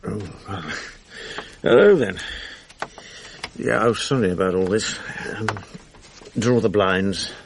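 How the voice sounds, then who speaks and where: An actor playing a weary, hard-drinking, self-pitying English TV producer in a radio drama, wry, rueful and rambling. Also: male voice, lowish voice, slow pace, creaky, long pauses, a little hiss or hum. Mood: groggy. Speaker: lead actor and narrator. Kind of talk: confessional radio drama monologue.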